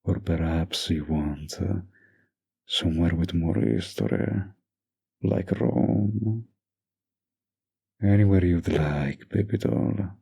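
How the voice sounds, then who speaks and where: A man playing a doting, dominant young mafia heir boyfriend in an intimate roleplay audio drama, murmuring slow, possessive sweet talk with pet names. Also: dark tone, full character voice. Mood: doting, eager. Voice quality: low gravelly, breathy murmur.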